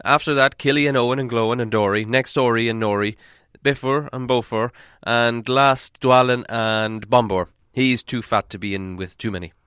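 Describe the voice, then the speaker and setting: A man's voice: mid-range voice, fairly even intonation, normal speed, a bit bright, clear, resonant tone, no room sound, studio quality, lightly acted. A man playing a stern old dwarf leader in a storybook reading, blunt and authoritative. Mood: stern, bossy.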